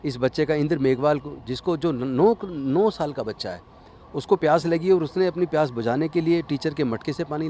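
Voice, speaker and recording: A man's voice: on the high side, a little mellow. A man speaking earnestly and emphatically in phrases, giving a political statement to a news reporter. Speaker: politician interviewee. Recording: noisy outdoor urban interview.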